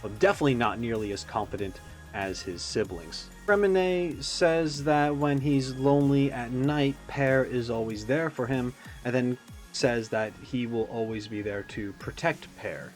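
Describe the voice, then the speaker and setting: Guy doing a laid out reaction commentary, kinda rambly thinking out loud, mid pitched voice, music playing behind him. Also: moderate pace. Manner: rambling, thinking aloud with self-aware asides. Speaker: reaction video creator. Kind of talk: casual, reflective commentary on a game character video.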